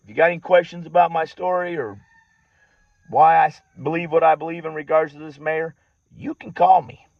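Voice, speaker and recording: A man's voice: fairly high pitch, steady tone, brisk, creaky, no echo. A man delivering an indignant, emphatic personal monologue to an audience, repeating phrases for weight. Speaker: farmer and activist speaking to followers. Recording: dull lo-fi audio.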